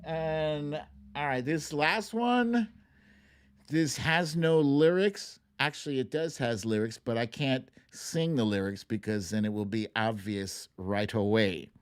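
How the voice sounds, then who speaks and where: A man's voice: medium pitch, slow pace, smooth. A man hosting a live online guessing game, chatting casually and playfully with his audience in an informal way. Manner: playful, informal, chuckling between remarks. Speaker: livestream host running a song-guessing game. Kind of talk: casual live chat with audience, reading out viewer names.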